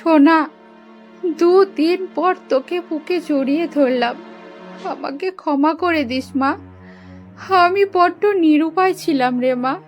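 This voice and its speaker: A woman's voice: high voice, slow, faint music behind. A woman playing a wronged, grieving young mother in a cartoon moral story, declaiming with righteous defiance. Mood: tearful tenderness. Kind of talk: dramatic animated moral story acting.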